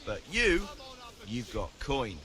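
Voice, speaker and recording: An actor playing a cocky, blustery brawler who runs paid fights in a video game. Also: male voice, medium pitch, big pitch swings, takes it slowly. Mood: blustery, challenging. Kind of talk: video game dialogue acting.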